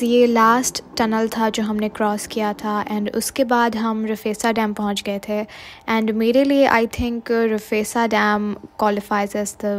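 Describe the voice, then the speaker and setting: A woman vlogger giving a casual voice-over narration, often switching between two languages mid-sentence. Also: a little high. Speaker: travel vlogger narrating a road trip.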